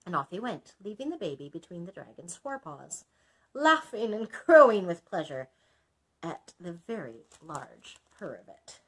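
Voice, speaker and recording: A woman's voice: on the low side, big pitch swings, moderate pace, fairly bright tone, slightly rough, rough recording. A woman reading a children's story aloud as a librarian, expressively, with small asides to listeners.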